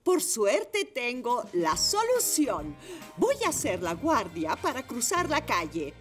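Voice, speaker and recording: High, singsong motorbike teacher. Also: female voice, very animated, slow pace, a bit bright, faint music behind, heavily acted. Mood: cheerful. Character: cheerful bespectacled female motorbike schoolteacher, kindly and theatrical.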